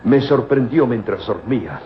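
A man's voice: mid-range voice, animated, a little hiss or hum, lightly acted. A man acting in an old-time radio drama as an anxious older European professor and father, speaking hesitantly. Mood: shaken, hesitant. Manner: hesitant, halting delivery. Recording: muffled old radio recording, music behind.

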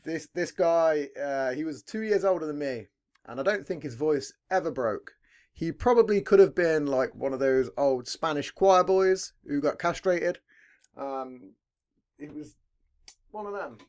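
Male podcast host, deep heavy voice, lively swooping pitch, relaxed rambling delivery with casual swearing. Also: a little slow. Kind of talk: casual chat.

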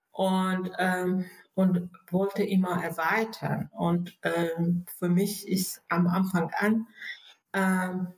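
Woman guest, Persian accent, hesitant delivery, slow, low-ish. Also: poor audio. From Iran. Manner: hesitant, many fillers and restarts. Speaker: interview guest. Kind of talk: casual interview.

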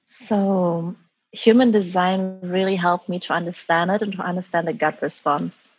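A woman guest with a breathy voice who talks reflectively, full of "like" and "um", about her own path. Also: on the low side, fairly even intonation, moderate pace, lo-fi sound. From Germany and Taiwan. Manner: reflective, many "like" and "um" fillers. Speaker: guest, former business consultant. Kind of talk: reflective interview answers.

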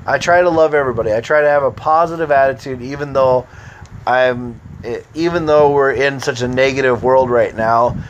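An American man of ordinary pitch rambles through a solo podcast, easygoing and unhurried. He drifts between thoughts with plenty of fillers and restarts, and a faint noise sits behind the recording. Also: steady tone, dull audio. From United States. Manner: meandering, easygoing, frequent fillers. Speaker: podcast host. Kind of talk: casual rambling monologue.

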